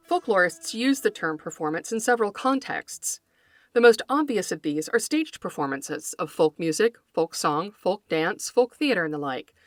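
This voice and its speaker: A woman podcast host reading scripted educational narration in a measured, articulate, scholarly manner, close to the microphone. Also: medium pitch, no echo. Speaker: podcast host, folklore scholar and fiction writer.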